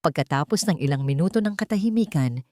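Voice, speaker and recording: A woman with a slightly low voice narrates a romantic drama as a storyteller. Her delivery is solemn and measured, recorded dry and close. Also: lively intonation, mellow, no room sound.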